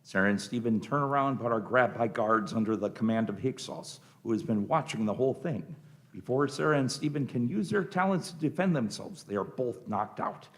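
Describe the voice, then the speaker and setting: A man's voice: medium pitch, lively intonation, a little fast. A man narrating a scripted radio drama, reading out the scenes and action.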